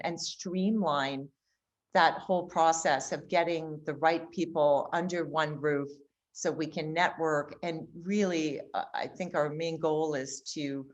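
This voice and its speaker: A woman with a slightly low voice answers as a guest. Her delivery is halting and hesitant, in a casual explanatory style. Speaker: interview guest, expo organiser.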